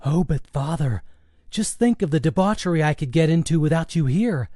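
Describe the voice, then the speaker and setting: A man's voice: a little high, expressive, normal speed, mellow, no room sound, clean studio sound, voice pushed up. A man voicing a cheeky, smart-mouthed teenage son in an audiobook, with a teasing, mock-dramatic manner. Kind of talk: audiobook character dialogue.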